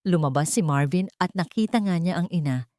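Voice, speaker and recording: Low-pitched woman narrator, close and dry. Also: animated, brisk, darkish tone, no room sound. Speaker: story narrator. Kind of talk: scripted dramatic narration of a Tagalog romance story.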